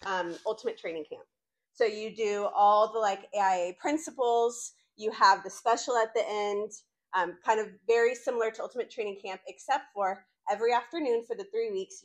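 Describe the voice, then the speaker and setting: A woman in her late thirties from North Carolina, chatting informally to college students in a faith talk. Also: mid-range voice. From North Carolina, United States. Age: late 30s. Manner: chatty and conversational, frequent "like" asides. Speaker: campus ministry speaker.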